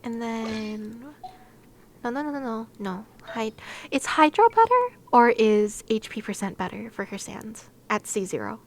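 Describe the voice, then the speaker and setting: Playful high-voiced woman streaming a game. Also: expressive, measured pace, slight background noise. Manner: giggly, playful, chatty. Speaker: game livestreamer. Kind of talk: casual livestream chat while gaming, talking to viewers.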